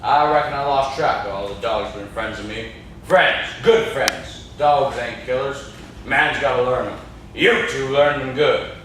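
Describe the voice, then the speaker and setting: A man's voice: mid-range voice, measured pace, a bit bright, close to an everyday voice. Young man playing a stern old dog lover, echoey. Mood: mournful. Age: young adult. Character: menacing, mournful old dog-loving cabin man with a rifle. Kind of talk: amateur stage play acting. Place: classroom, indoors. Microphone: far camera. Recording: echoey classroom, distant camera mic, noisy.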